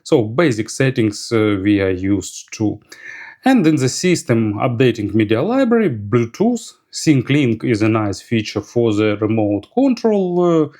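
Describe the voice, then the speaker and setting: A man giving a product review as an audio gear reviewer, speaking in a halting, hesitant way. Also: mid-range voice, very animated, a little mellow.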